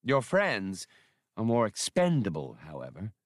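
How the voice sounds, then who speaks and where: A man's voice: fairly low pitch, wildly swooping pitch, unhurried, no echo, clean studio sound, full character voice. A man reading an audiobook, voicing a condescending gunman villain with formal, menacing courtesy. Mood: smug amusement. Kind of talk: audiobook character dialogue.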